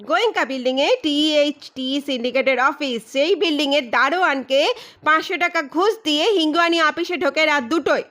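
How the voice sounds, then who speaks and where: A blustering male private detective from an audio mystery, acted out by a woman. The voice is very high and loud, with emphatic, declamatory delivery. He comes across as boastful, ruthless and menacing. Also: fairly quick. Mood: heated, insistent. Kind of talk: dramatized audiobook reading.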